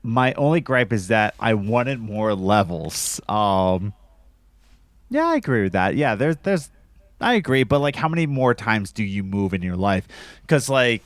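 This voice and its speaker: A man hosting a podcast, hoarse from a cold, chatting loosely with lots of fillers and restarts. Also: lowish voice, sing-song, measured pace, dry recording. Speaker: podcast host. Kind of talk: casual podcast chat.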